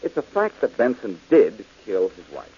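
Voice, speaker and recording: An actor playing a confident, clever detective in an old radio drama, brisk and self-assured. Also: male voice, on the low side, wildly swooping pitch. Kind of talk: 1940s radio acting. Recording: dull old radio broadcast with hiss.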